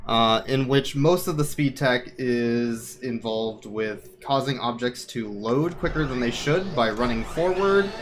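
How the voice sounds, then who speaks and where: A man's voice: medium pitch, unhurried, few pauses. A man giving casual, wry live commentary as he plays through a video game speedrun. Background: game music.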